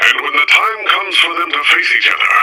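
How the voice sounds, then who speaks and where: Bright, dramatic radio serial announcer. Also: male voice, on the high side, very animated, brisk, slightly rough, heavily acted, poor audio. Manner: dramatic, suspenseful. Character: melodramatic male serial announcer. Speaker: narrator. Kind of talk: retro radio serial announcing, scripted narration.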